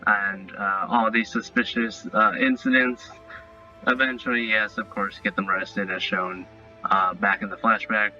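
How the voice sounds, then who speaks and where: A man giving a casual, unscripted review and recap, rambling and halting as he repeats himself. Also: on the low side, fairly even intonation, sharp tone. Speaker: online cartoon reviewer. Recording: dull lo-fi audio, music bed. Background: faint music.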